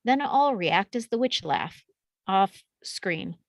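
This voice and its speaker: A woman's voice: mid-range voice, expressive, slow, dry recording, studio quality. A woman reading out action and camera directions from a film script as scripted narration at a table read. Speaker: reader of stage directions.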